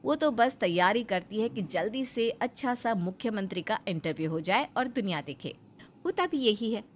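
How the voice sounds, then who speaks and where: A woman's voice: medium pitch, expressive, moderate pace, a little hiss or hum. A woman narrating a scripted Hindi family moral story as a storyteller.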